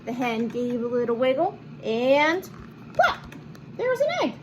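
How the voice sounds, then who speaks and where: A woman reading a picture book aloud to children in an expressive, animated manner, with chatty asides and simple explanations. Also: a little high, a little slow, noisy background. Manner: animated, acts out lines and explains words to children. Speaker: children's read-aloud host.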